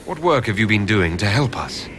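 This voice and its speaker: An actor playing a determined fantasy leader in a video game, plain, earnest and direct. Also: male voice, fairly low pitch, big pitch swings, normal speed, fairly bright tone, a little hiss or hum. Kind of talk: video game dialogue acting.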